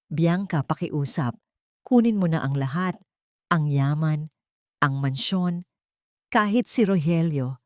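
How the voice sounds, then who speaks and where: A woman's voice: low-pitched, slow pace, pauses often, a little mellow, no echo, studio quality. A woman voicing a frightened but determined mother who pleads, in a dramatic audio story. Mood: pleading.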